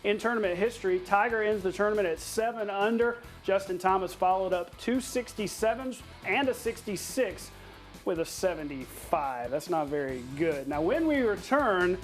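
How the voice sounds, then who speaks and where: A man anchoring a television sportscast in a playful, conversational way, reading highlights and bantering with a co-host. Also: expressive, normal speed, soft background music. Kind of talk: upbeat scripted sportscast narration with banter.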